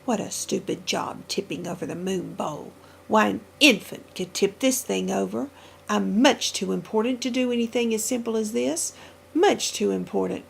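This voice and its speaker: A woman's voice: mid-range voice, moderate pace, gravelly, a little hiss or hum. Woman putting on a pompous grumbling old moon man.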